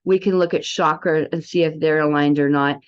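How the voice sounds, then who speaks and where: A woman's voice: deep voice, steady tone, moderate pace, clean tone. Woman giving a rambling demo, muffled lo-fi sound. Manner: chatty, rambling, salesy. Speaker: biofeedback practitioner giving a product demo. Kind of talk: informal software demo walkthrough.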